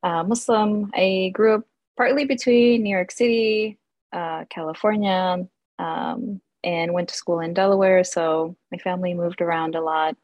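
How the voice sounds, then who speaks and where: Friendly young woman guest, lowish voice. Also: fairly even intonation, a little slow, dry recording, dull audio. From United States. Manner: friendly, many ums and uhs, laughs. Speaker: guest, English instructor and food writer. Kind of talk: casual interview.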